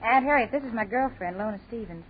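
An actress playing a timid, uneasy college student in a 1940s radio drama, with a nervous, halting delivery. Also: female voice, fairly high pitch, lo-fi sound, close to an everyday voice. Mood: relieved, eager. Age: young adult. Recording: scratchy, thin 1940s radio recording.

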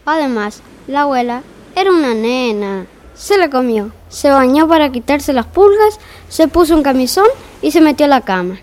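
A woman's voice: sits high, animated, normal speed, a little mellow. A woman playing an exasperated, bossy narrator in a comic fairy-tale audio drama. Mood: storytelling. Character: exasperated, bossy storyteller wrangling unruly characters.

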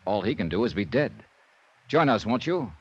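A cool American insurance investigator in vintage radio drama. His pitch moves freely as he probes with easy, wry curiosity. The sound is dull, like an old broadcast. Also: big pitch swings, normal speed, slightly rough. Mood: dramatic. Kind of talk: 1950s radio drama acting.

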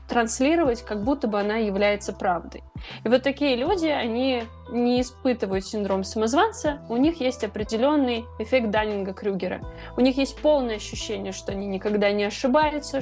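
Higher-pitched woman explaining over music. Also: darkish tone. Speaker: podcast speaker. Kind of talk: informal explanatory podcast talk.

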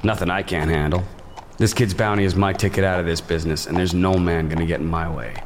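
An actor playing a weary, hardened bounty hunter on the frontier, curt and threatening. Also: male voice, sits low, fairly even intonation, quick pace, slight background noise, close to an everyday voice. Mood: gruff. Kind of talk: western horror audio drama acting.

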